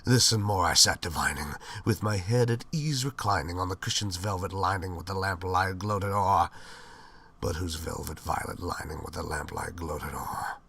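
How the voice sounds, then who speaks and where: A man giving a theatrical, expressive recitation of gothic poetry in measured phrases. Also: low voice, gravelly, breathy edge, recorded from a distance. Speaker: poetry reader.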